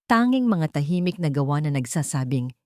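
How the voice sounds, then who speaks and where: A woman with a Filipino accent narrating a scripted drama story in measured, emphatic phrases. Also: sits low, very animated, dark tone, no echo, studio quality.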